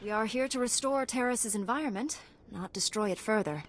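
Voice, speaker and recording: A woman playing a resolute, composed Jedi Knight heroine in a video game, calm and dutiful. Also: fairly high pitch, a little fast, a little hiss or hum, close to an everyday voice. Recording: game music underneath.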